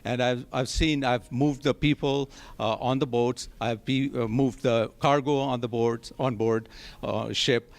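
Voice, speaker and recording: Halting man with a smooth voice. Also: medium pitch, fairly even intonation. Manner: halting, many hesitations. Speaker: election candidate. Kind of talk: debate closing remarks.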